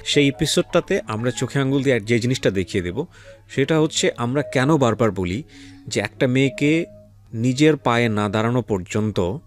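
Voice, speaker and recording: A man's voice: mid-range voice, expressive, normal speed. A man with a Bangladeshi accent hosting a radio interview, putting questions to a guest and speaking to listeners. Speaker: radio show host and interviewer. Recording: faint music bed under the voice. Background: soft background music.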